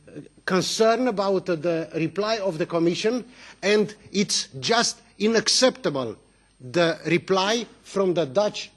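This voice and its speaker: A man from Romania, giving an emphatic, indignant speech as a member of parliament at a committee hearing. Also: sits high. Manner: indignant, emphatic, with halting restarts.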